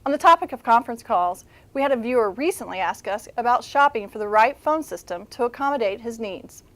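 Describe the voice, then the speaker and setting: A woman's voice: on the high side, expressive, brisk. A woman presenting as a show correspondent, answering viewer questions in a polite, instructive, scripted delivery.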